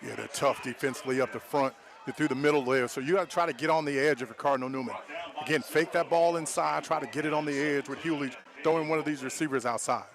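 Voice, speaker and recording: A man's voice: a little high, fast, a crowd in the background. An American man working as color analyst on a live football broadcast, explaining tactics like a coach.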